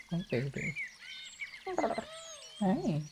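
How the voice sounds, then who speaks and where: A young man chatting casually on a livestream in a rambling way, sometimes slipping into soft baby talk. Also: a little high, huge pitch swings, very slow, background noise. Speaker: live streamer.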